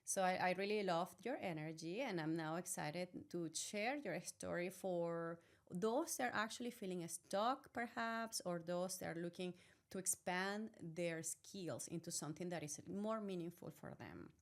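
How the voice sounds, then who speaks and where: A woman's voice: lowish voice, normal speed. Earnest woman hosting a podcast. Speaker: podcast host. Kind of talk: interview hosting.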